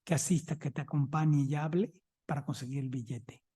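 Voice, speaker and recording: A man's voice: on the high side, fairly even intonation, a little fast, warm tone, breathy edge. A man with a Mexican Spanish accent giving a conference talk as a museum director, chatty and anecdotal, often checking in with listeners.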